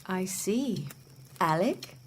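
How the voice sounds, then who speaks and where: An actress playing a wise, patient mother in a family audio drama, measured and gently correcting. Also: mid-range voice, lively intonation, a little hiss or hum.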